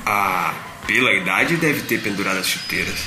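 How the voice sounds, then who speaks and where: A man's voice: fairly low pitch, animated, normal speed, background noise. A man playing a grumbling, good-humoured old circus worker with a Brazilian accent, in colloquial audio drama dialogue. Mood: wry grumbling. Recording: music behind the voice.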